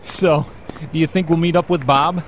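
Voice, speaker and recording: A man's voice: on the high side, steady tone, moderate pace, background noise, natural voice. A man playing a curious, skeptical everyman companion in an audio drama, with a casual questioning manner. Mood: curious, teasing.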